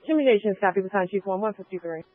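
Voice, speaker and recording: A woman's voice: medium pitch, very fast. A woman working as a dispatcher, giving clipped acknowledgements over two-way emergency radio. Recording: tinny narrowband emergency scanner radio.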